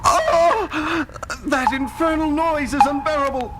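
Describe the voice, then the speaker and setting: A very high, agitated man. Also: steady tone, slow pace, rough recording. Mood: agitated, amazed. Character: melodramatic, highly agitated man in peril. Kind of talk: superhero audio drama acting. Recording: loud dramatic music underneath.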